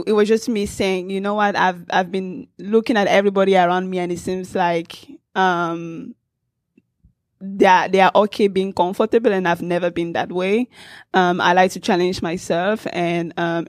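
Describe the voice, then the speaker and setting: A woman's voice: medium pitch, steady tone, moderate pace, no echo. Earnest woman from Cameroon, dry sound. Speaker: panelist. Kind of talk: panel discussion.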